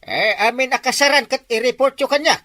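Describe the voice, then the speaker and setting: A woman's voice: sits high, fairly quick, bright, forceful tone. A woman playing a barangay captain in an Ilocano comedy skit, giving her delivery big exaggerated swoops. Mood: bossy. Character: bossy barangay captain called Kapitan.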